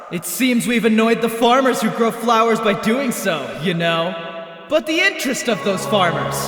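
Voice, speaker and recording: A very high-pitched, animated young idol ringleader acted by a man in an anime dub. He is showy and taunting, declaiming like a stage agitator in heavy reverb over music. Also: heavily acted. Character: brash, theatrical young male idol ringleader, taunting rabble-rouser. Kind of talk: anime fandub acting, stage-speech declamation. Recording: echoey long reverb, loud music behind.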